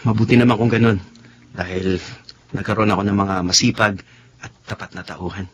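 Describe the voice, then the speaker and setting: An actor playing a kind but evasive young restaurant owner in a Tagalog radio drama, speaking in a halting, hesitant way. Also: male voice, on the low side, steady tone, lo-fi sound, a little hiss or hum, natural voice. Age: young adult.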